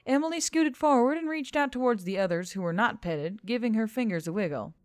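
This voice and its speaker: A woman narrates fiction in a scripted audiobook style. Her pitch moves in a lively way, and the sound is dry, with faint music underneath. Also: fairly quick, no room sound.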